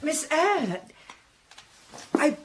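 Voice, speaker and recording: An actress playing a kindly, proper elderly housekeeper who speaks in a measured, cautionary way. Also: female voice, high-pitched, big pitch swings, background noise, full character voice. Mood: cautious. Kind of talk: period radio drama.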